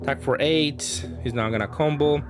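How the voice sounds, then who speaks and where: A man's voice: a little high, normal speed. Man thinking aloud over music. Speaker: card game player presenting match commentary. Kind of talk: casual gameplay commentary.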